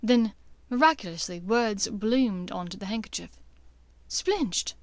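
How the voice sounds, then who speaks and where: A woman's voice: medium pitch, normal speed, no echo. A woman reading scripted fiction as an audiobook narrator, in a dramatic storytelling style.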